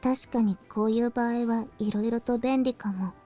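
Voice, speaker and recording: A quiet teenage schoolgirl from a visual novel , acted by a woman, speaks in a soft, understated mutter. Her voice is fairly high yet very mellow and relaxed, with faint music behind it. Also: fast, lightly acted. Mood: quiet, matter-of-fact. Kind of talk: Japanese visual novel voice acting. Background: faint game music.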